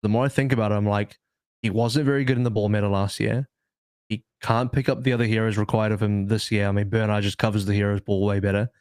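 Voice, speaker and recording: A man's voice: on the low side, steady tone, fast talker, a little mellow, smooth, no room sound. A man giving casual esports analysis as a podcast panelist, insistent and repeating his phrases to press the point.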